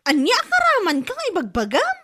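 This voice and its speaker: Woman playing a shrill gossipy parlor owner. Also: wildly swooping pitch, fairly quick, noisy background, heavily acted. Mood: teasing gossip. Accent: Ilocano. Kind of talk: comedy drama acting.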